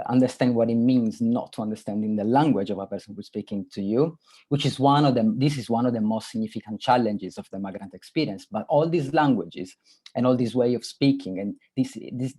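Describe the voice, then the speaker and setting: A man from Sardinia with an Italian accent, co-hosting an academic webinar in an earnest, halting way. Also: mid-range voice, warm tone. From Sardinia, Italy. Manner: earnest, with frequent restarts and hesitations. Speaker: webinar co-host.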